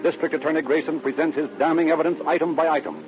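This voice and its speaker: A man's voice: a little high, fairly even intonation, fast. An American man narrating a vintage radio crime drama in a solemn, formal, dramatic scripted style. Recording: tinny vintage radio recording with music.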